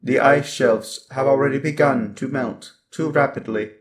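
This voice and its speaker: A man voicing a coldly superior, menacing robot overlord in a roleplay monologue, with deliberate delivery and stuttering glitches on words. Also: medium pitch, lightly acted. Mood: calm, superior.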